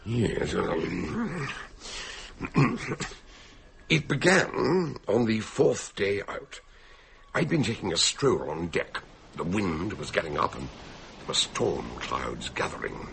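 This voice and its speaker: An actor playing an elderly, eccentric detective doctor in a radio drama, genial and theatrical with a gravelly, husky voice. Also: male voice, sits low, very animated, slow, a bit bright, slight background noise, heavily acted. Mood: recounting. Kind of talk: radio drama acting and narration.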